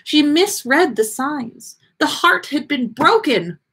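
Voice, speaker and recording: A woman voicing a dreamy, melodramatic female fortune-teller from a story, easily flustered, with halting exclamations and trailing pauses. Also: high voice, animated, a bit bright, pitched above the performer's own voice, full character voice. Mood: despairing.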